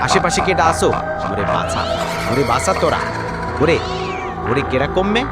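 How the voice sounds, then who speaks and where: A man voicing a terrified villager pleading for his life in a horror cartoon, wailing in a Barisal accent, under loud music. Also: fairly high pitch, big pitch swings, a little fast. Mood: panicked terror. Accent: rural southern Bangladeshi (Barisal).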